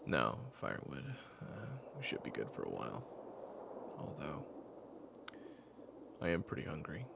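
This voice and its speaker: A man playing a weary, patient caretaker in an intimate audio roleplay, speaking in a hushed, hesitant way. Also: huge pitch swings, a little slow, heavy background noise, exaggerated voice. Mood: patient, reassuring. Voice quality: very low gravelly voice. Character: weary, patient man caring for an amnesiac companion, retelling their past.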